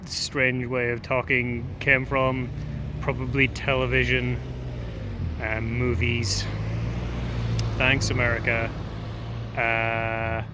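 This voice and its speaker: A man's voice: medium pitch, completely flat delivery, slow, pauses often. A man with an Irish accent rambling hesitantly through a casual travel vlog outdoors on a city street. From Ireland. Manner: rambling, hesitant, many ums. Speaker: vlogger. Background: urban street noise.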